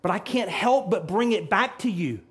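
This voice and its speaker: A man's voice: high-pitched, expressive, normal speed, fairly bright tone. A middle-aged man preaching a sermon in a church, earnest, with frequent restarts and repeated phrases. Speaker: pastor. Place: church sanctuary.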